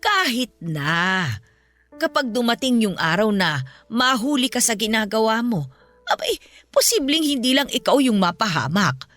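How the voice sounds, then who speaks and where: A woman playing a gentle, principled ailing mother in a Filipino radio-style drama, speaking with earnest, patient counsel. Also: fairly high pitch, very animated, measured pace. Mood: earnest, firm. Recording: music bed under the voice.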